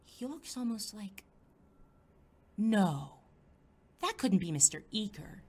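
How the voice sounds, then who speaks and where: A woman playing a blunt, sarcastic high school girl, incredulous and snarky, in a comedic audio drama. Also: big pitch swings, slow pace, darkish tone, slightly rough, heavily acted. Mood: inner musing.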